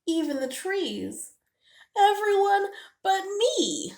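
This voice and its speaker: A woman voicing a small, excitable and tender squirrel in a children's storybook, with expressive, exclamatory delivery. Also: very high-pitched, takes it slowly, vocal fry, voice pushed up, heavily acted. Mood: wistful. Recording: roomy, echoing indoor sound.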